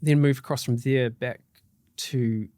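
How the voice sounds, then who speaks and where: A man's voice: medium pitch, fairly even intonation, a little slow, mellow, dry recording, studio quality. A middle-aged man with a New Zealand accent, a guest chatting casually on a podcast, upbeat and loose with fillers and restarts, his throat slightly tickly. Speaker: guest mortgage broker.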